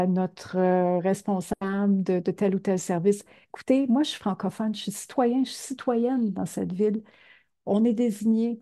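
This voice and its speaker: A woman's voice: mid-range voice, dark tone. An older woman, giving an earnest talk to seniors with many fillers. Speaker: presenter.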